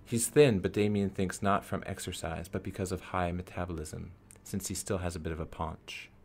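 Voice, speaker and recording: Low-voiced male narrator over background noise. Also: fairly even intonation, moderate pace, creaky. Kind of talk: scripted story narration for a radio play.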